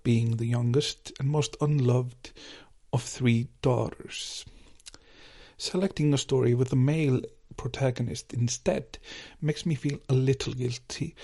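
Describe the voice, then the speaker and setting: A man with an Icelandic accent hosting a folklore podcast, narrating from a script in a measured, lightly wry way. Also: mid-range voice, dark tone.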